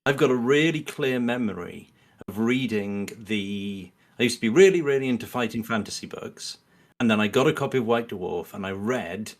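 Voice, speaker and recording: A middle-aged man as a guest in casual podcast chat, halting and earnest as he thinks aloud. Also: medium pitch.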